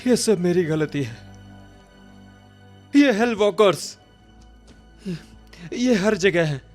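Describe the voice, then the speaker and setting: A voice actor playing an anxious, guilty teenage boy in a game dub, halting and slow. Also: high voice, lively intonation, darkish tone, soft background music. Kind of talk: Hindi game dub acting.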